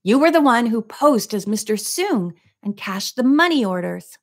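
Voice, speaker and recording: A woman's voice: on the high side, big pitch swings, normal speed, pitched above the performer's own voice. A woman voicing a plucky, earnest young woman detective in a storybook reading. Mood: realizing.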